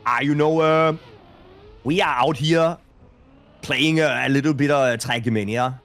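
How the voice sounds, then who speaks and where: An over-the-top mock Danish accent, halting and padded with fillers, done by a man as a joke. The delivery is hammed up and deliberately clumsy. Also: mid-range voice, fairly bright tone, voice pushed up. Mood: playful. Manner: over-exaggerated, hesitant, full of fillers. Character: man mimicking a thick Danish-accented gamer.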